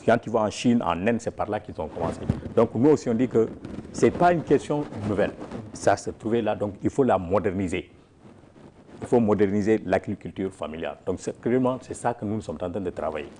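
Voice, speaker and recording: Man, farmers' leader in an interview, mellow voice, emphatic, faint noise behind. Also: lowish voice, lively intonation, a little fast. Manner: emphatic, repetitive, with restarts. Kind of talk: interview answers.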